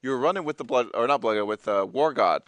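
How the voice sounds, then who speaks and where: A man from Texas with a Texan accent, co-commentating a fighting-game tournament in relaxed, chatty banter. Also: mid-range voice, fast talker, dry recording. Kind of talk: live esports commentary.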